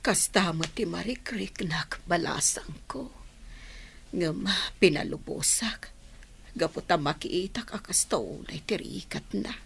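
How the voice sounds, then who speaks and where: A woman's voice: lowish voice, animated, vocal fry, husky, in a whisper, exaggerated voice. A woman playing a frail, sorrowful old mother in a sentimental audio drama, speaking in a weary, resigned way. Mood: sad, subdued. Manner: slow, drawn-out, weary delivery. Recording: music bed behind the voice.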